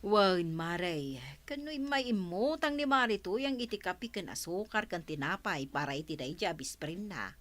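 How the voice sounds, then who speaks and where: A woman's voice: lowish voice, lively intonation, moderate pace, hardly any pauses, a bit bright. A woman with an Ilocano accent playing a gossipy village neighbor in a comedy radio drama.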